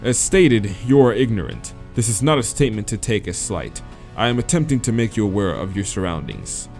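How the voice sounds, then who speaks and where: A man's voice: fairly low pitch, big pitch swings, darkish tone, faint music behind. A man voicing an imposing, demonic owl man in a visual novel, pompous and formal in his speech. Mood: formal, condescending. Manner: pompous, formal, measured wording.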